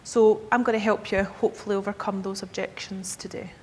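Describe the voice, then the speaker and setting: A woman's voice: little pitch movement, a little fast, a little mellow. Scottish woman in her 30s doing a conference talk, chatty, keeps saying okay, big echoey hall. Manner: chatty, checks in with the audience with "okay?". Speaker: key account manager presenting to advisers. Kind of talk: conference presentation. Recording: echoey hall with faint rumble.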